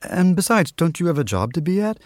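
A man voicing a friendly, wistful old lady in a story reading, with a gentle, chatty manner. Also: on the high side, sing-song, brisk, no echo, clean studio sound, voice pushed up. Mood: wistful, flustered. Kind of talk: literary story reading with character dialogue.

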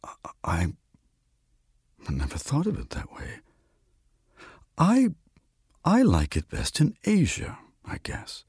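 A man voicing a shy, lonely Death in a story reading, gentle and halting, often stammering. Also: medium pitch, wildly swooping pitch, breathy edge, dry recording, in a whisper, clean studio sound, pitched above the performer's own voice, exaggerated voice. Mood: shy, unsure. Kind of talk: literary story reading with character dialogue.

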